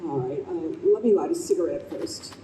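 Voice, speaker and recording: A woman plays a fifty-something working mother in an old-time radio drama, affectionate and easily exasperated. Her voice is very mellow and swoops with animation. The room echoes around her. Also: low-pitched, lively intonation, normal speed. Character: warm, exasperated fifty-two-year-old smoker mother and office manager. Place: convention room stage performance. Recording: roomy live hall, faint background noise.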